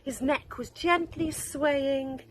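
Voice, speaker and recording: A woman's voice: high-pitched, steady tone, slow pace. A woman, a primary school teacher, reading a children's story expressively. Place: outdoors in a garden. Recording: outdoor recording with faint background noise.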